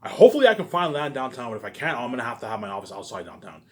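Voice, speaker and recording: A man's voice: mid-range voice, sing-song, very fast, fairly bright tone. A man delivering a rambling, casual monologue, repeating himself emphatically, swearing and often tacking on questions for agreement. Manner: repetitive, emphatic, swearing, frequent tag questions.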